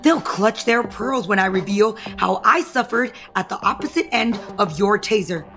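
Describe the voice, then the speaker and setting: A woman's voice: medium pitch, lively intonation, moderate pace, fairly bright tone. A brazen, scheming woman angling for power in an audio soap opera. Her mid-range voice is confrontational and taunting, full of self-assurance, over a bed of background music. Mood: threatening. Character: scheming, brazen ambitious young woman, office power player.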